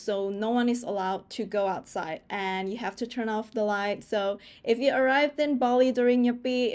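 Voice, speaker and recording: A woman's voice: on the high side, a little mellow. A woman from Indonesia, giving travel tips to camera in a chatty, conversational vlog style. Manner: chatty and conversational, frequent "like" fillers. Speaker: travel vlogger.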